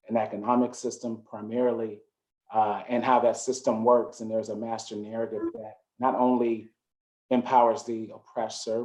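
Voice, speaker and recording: Flat, low-voiced man reflecting on curating. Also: poor audio. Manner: measured, reflective, with fillers. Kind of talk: panel discussion.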